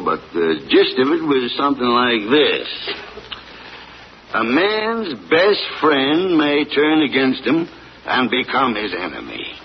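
Casting: a drawling old farmer who pleads for his dog, slow and lively in pitch, dull old radio sound. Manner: slow plain rural drawl, earnest. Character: old farmer on trial, defending his hound. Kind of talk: 1940s radio acting. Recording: dull old radio broadcast, faint hiss.

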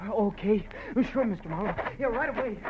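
An actor playing an excitable, starstruck teenage boy in an old radio drama, breathless and stammering. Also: high-pitched, a little fast, natural voice. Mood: excited, starstruck. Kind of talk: 1940s radio drama acting. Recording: muffled, noisy old radio recording.

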